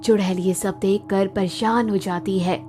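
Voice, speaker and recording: A woman's voice: mid-range voice, fairly even intonation, measured pace, warm tone, soft background music. A woman narrating a scripted cartoon story as its storyteller.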